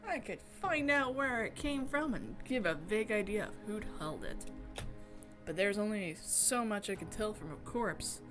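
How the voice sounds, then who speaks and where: A woman's voice: medium pitch, expressive, normal speed, over music, close to an everyday voice. Jaded young woman investigator, read by a streamer. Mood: matter-of-fact. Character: jaded clairvoyant young woman investigator, wry and world-weary. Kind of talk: visual novel first-person narration read aloud.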